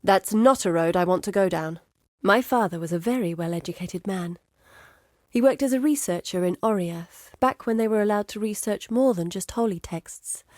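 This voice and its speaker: A woman playing an educated, curious, guarded map-maker in exile in a video game, speaking in a measured, earnest, articulate way. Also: fairly low pitch, darkish tone, dry recording, clean studio sound, natural voice. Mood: wry, skeptical. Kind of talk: scripted video game character dialogue. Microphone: close studio mic.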